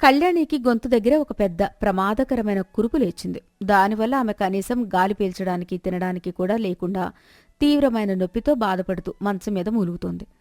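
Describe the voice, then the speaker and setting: A woman's voice: medium pitch, fairly quick, darkish tone, dry recording, clean studio sound. Woman narrating a scripted moral story.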